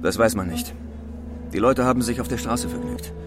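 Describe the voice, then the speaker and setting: A man's voice: medium pitch, wildly swooping pitch, normal speed, over music. An actor in a radio play playing a stern, agitated policeman with a clipped, official manner. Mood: stern, urgent. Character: stern, agitated policeman guarding a cordoned street.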